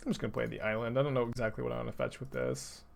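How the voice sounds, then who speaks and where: Young texan guy streaming a card game, dry sarcastic, kinda gravelly, talks to chat while he plays. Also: mid-range voice, fairly even intonation, rapid-fire. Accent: urban Texan, mild. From Texas, USA. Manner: dry, sarcastic, thinking aloud with frequent restarts. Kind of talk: casual commentary over gameplay, chatting with viewers.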